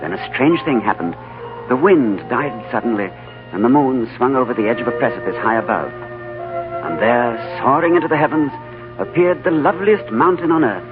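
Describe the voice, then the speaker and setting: An actor playing a calm, courteous English diplomat adventurer, in 1940s radio drama style, telling his tale with composed understatement. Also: medium pitch, fairly quick, lightly acted. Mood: grave, awed narrating. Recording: tinny 1940s radio broadcast, loud music.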